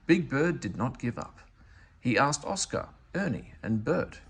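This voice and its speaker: Animated male storybook reader, gravelly edge. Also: medium pitch, measured pace. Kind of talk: expressive children's read-aloud narration.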